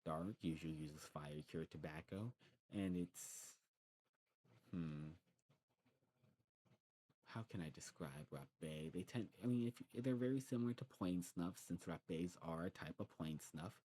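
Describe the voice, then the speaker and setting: A man's voice: sits low, dark tone. A man playing a demon snuff shopkeeper in an ASMR roleplay, explaining patiently in a hesitant, rambling way. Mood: musing, rambling. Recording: clearly noisy background.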